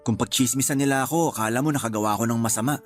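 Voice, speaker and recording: A man's voice: mid-range voice, racing, faint music behind, natural voice. An actor playing an earnest young male employee and loyal boyfriend in a Filipino radio drama, polite but firm. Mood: firm, aggrieved.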